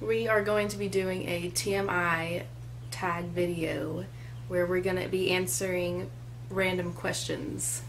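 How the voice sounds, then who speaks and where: A woman's voice: fairly low pitch, fairly even intonation, measured pace, gravelly, a little hiss or hum. A woman in her twenties chatting casually to a webcam in a small room, with lots of "like" and "um" fillers. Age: 20s. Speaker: co-host. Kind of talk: casual chat. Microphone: webcam at desk distance.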